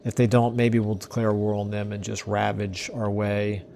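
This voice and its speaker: Man, let's play host, hoarse, mellow tone, thinking aloud, casual game commentary. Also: fairly low pitch, completely flat delivery, normal speed, slight background noise. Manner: musing, thinking aloud with fillers. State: hoarse, losing his voice.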